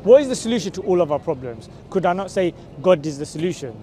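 A man's voice: high voice, very animated, quick pace, mellow, slight background noise. A man, talking outdoors in a busy city square and pressing his questions with persuasive, repeated checks like "right?". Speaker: street preacher. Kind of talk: street conversation. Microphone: camera mic from a few meters.

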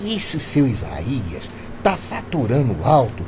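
A man's voice: medium pitch, huge pitch swings, takes it slowly, noisy background. A man playing a shrewd, teasing Brazilian construction worker in a comic radio drama, with colloquial, exclamatory delivery. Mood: suspicious.

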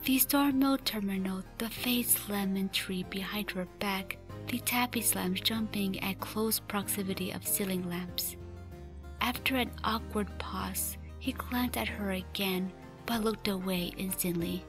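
A woman's voice: medium pitch, unhurried, over music, lightly acted. A woman reading scripted story narration for a fan radio drama.

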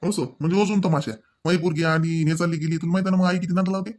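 A man's voice: a little high, steady tone, very fast, a little mellow, lightly acted. A man voicing a harried village husband in a comedy cartoon, grumbling and placating with a Varhadi Marathi accent. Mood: irritated. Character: harried village husband, irritable but resigned peacemaker.

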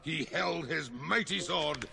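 A man voicing a blustering, boastful Highland clan lord with a Scottish accent in an animated film. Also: slow, gravelly, heavily acted.

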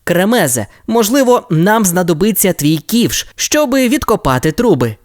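Woman playing a young boy team leader, reassuring, commanding, lively pitch, dry close sound. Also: moderate pace, no room sound, lightly acted. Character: calm young boy leader of rescue pups.

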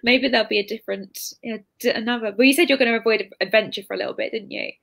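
A woman's voice: a little high, racing, vocal fry, a bit bright. A British woman in her twenties hosting a casual live interview over a video call, chatty and informal. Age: 20s. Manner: chatty, informal, full of hedging asides. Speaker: interviewer, bookshop host. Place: indoor room, video call. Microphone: computer mic on video call.

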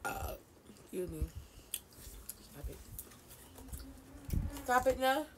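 A woman's voice: high-pitched, lively intonation, slow. A young Jamaican woman with a Jamaican accent, chatting casually in her bedroom as she tastes snacks, chewing while she talks and teasingly repeating words. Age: young adult. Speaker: vlogger. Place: small bedroom indoors. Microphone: fixed front-facing camera. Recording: wrapper crinkling noise. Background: crinkling snack wrappers.

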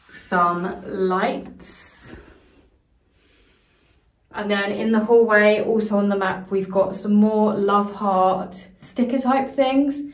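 A young British woman giving a casual tour of her house, chatty and filling pauses with "so" and "um" as she walks from room to room. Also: mid-range voice, distant mic. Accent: British English. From United Kingdom. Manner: chatty, apologetic asides, frequent "so" and "um". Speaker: home tour vlogger. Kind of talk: casual walkthrough narration. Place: small house, indoors, walking room to room. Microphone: handheld phone camera. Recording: roomy sound, voice away from mic.

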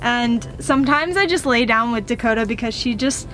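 A woman's voice: a little high, normal speed, a bit bright, poor audio. A young woman chatting casually to her viewers as a vlogger, inside a truck cab. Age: young adult. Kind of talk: casual vlog chat to viewers. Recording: music behind the voice. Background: music.

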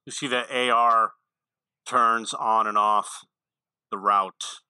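A man's voice: fairly low pitch, steady tone, takes it slowly, pushed and bright, clean tone, dry recording, poor audio. A man giving casual, unscripted tutorial commentary on a video game in a matter-of-fact way.

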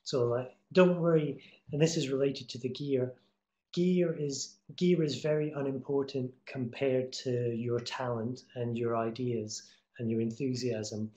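A man's voice: mid-range voice, steady tone, unhurried, darkish tone. A middle-aged man giving students casual, encouraging career advice on an online call, with lots of fillers and restarts. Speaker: guest speaker, TV promo editor. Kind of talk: online talk answering students' questions. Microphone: webcam on video call. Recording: echoey room over video call.

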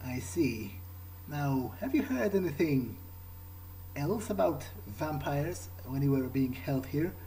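Man, dark soft-edged tone, conversational game roleplay, hesitant questions, faint background noise. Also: fairly high pitch, animated, takes it slowly, rough recording. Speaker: let's player roleplaying in character. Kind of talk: improvised in-character game roleplay.

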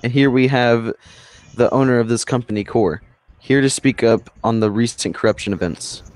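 A man voicing a male banana field reporter who speaks in a booming, formal way. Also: moderate pace, darkish tone, natural voice.

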